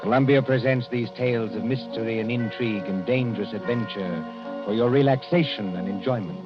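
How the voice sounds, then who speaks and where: A man announcing formally and deliberately in scripted 1940s radio style, on a muffled old broadcast. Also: medium pitch. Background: prominent music.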